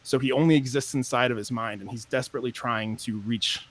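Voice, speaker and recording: A man's voice: medium pitch, steady tone, brisk. Man telling a rambling story. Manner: rambling storyteller with many restarts. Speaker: sound editor. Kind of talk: casual podcast chat.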